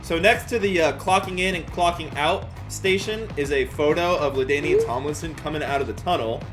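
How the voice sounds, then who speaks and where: A young man with an American accent and a slightly high voice tells a story in the manner of a casual YouTuber. His delivery is animated and informal, full of slang and self-mockery. Faint music plays behind him. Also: fairly quick. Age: young adult. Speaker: YouTuber telling a personal story.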